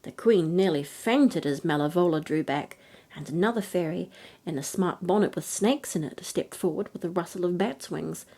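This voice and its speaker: A woman gently narrating a fairy tale as a bedtime story reader. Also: on the low side, moderate pace, husky.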